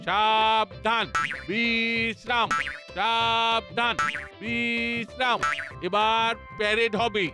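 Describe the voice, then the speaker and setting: A man's voice: very high voice, little pitch movement, extremely slow, exaggerated voice. An actor playing a strict, elderly grandfatherly disciplinarian in a cartoon, speaking in a formal, commanding manner. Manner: stern, commanding, formal wording. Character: strict old grandfatherly disciplinarian man drilling children. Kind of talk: cartoon voice acting. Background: background music.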